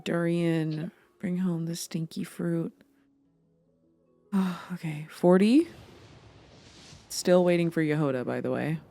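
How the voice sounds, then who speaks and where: A woman hosting a gaming session in casual, dramatic, excitable chat. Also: on the low side, slow, creaky, pauses often, faint music behind. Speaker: gaming video host.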